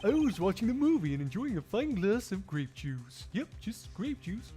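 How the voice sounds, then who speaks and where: A man's voice: high voice, sing-song, normal speed, mellow, soft background music, voice pushed up. A man voicing a jumpy, defensive male airline passenger in a video game. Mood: nervously innocent.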